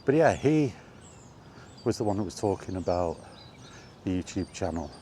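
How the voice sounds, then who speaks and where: Deep-voiced man chatting outdoors. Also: expressive, mellow. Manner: rambling, conversational, halting pauses. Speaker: gaming vlogger. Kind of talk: casual rambling chat while walking. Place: outdoors, walking. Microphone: microphone with wind guard. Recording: windy outdoor recording, background noise.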